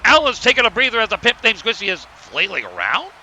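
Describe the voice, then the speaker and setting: A man's voice: high voice, huge pitch swings, quick pace, very noisy, full character voice. A man playing a play-by-play commentator narrating a cartoon wrestling match.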